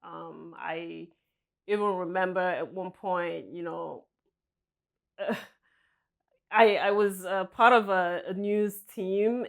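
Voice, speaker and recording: A woman from Nigeria answering interview questions as a guest, soft-spoken and hesitant, often laughing lightly. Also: lowish voice, gravelly. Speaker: guest, senior vice president.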